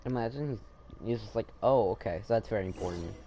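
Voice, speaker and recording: A man's voice: fairly low pitch, fast, warm tone. A man giving casual, offhand gameplay commentary with joking asides as the host of a gaming video. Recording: game music behind the voice.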